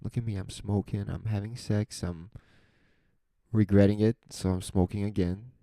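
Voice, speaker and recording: A young man speaks slowly into a close microphone, musing aloud in a soft, soothing voice. His tone is low and very mellow, and he drifts between thoughts. The sound is dry, with no room around him. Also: steady tone, dry recording. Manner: slow, introspective, rambling. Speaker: podcast host. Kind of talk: reflective solo monologue. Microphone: close podcast microphone.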